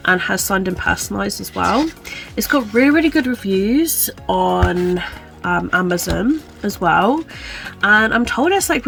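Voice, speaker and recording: A woman's voice: medium pitch, creaky, a bit bright. A young woman chatting casually about her week and her reading, stuffy and congested with a chesty cough, full of "kind of, like" fillers. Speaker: book vlogger. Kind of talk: casual chat to camera.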